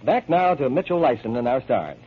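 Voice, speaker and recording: A man with a husky voice delivering a scripted 1940s radio advertising pitch as announcer. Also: sing-song, brisk. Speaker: commercial announcer. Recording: dull old radio broadcast.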